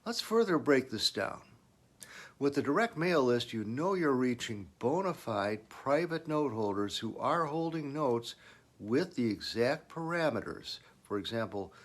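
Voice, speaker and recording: A man presenting business advice in a semi-scripted, instructional explainer style, putting emphasis on key points. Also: mid-range voice.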